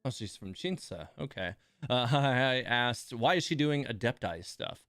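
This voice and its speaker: A man's voice: mid-range voice, animated, a little slow, a bit bright, clear, resonant tone. A man giving casual, chatty game commentary as a streamer, talking to viewers and repeating words for emphasis.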